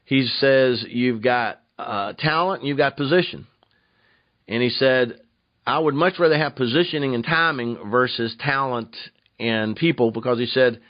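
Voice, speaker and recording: A man's voice: mid-range voice, no room sound. Male radio host, dry dull lo-fi sound. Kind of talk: conversational interviewing.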